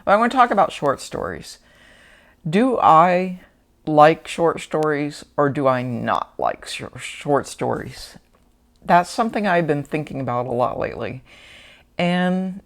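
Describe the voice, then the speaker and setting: A teacher with a gravelly voice, talking through a casual, unscripted book vlog at home, thoughtful and rambling with restarts and pauses. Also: medium pitch, animated. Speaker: book vlogger. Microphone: wearing a mic.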